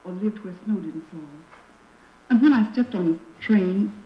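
A woman's voice: medium pitch, moderate pace, close to an everyday voice. Actress as a young girl narrating, echoey old radio drama. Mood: solemn, remembering. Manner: earnest, dramatic storytelling. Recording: echoey, muffled, noisy old radio recording.

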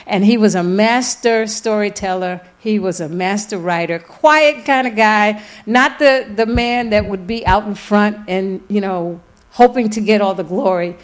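A woman giving a public lecture as an author, telling the story in an emphatic, deliberate way with repeated phrases. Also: medium pitch. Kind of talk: lecture with audience questions. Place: public lecture event, live before an audience.

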